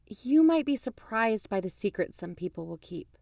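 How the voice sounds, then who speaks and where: A woman's voice: mid-range voice, big pitch swings, normal speed, vocal fry, darkish tone, no echo, clean studio sound. A woman playing a pragmatic documentary-maker and concerned friend in an audio drama, conversational, frank and pressing. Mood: sceptical. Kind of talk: naturalistic audio drama acting.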